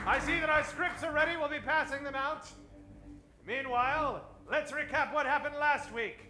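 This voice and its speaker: A man announcing and narrating an old-time radio serial, booming and theatrical, with drawn-out emphasis on key words. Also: music in the background, crowd noise, rough recording.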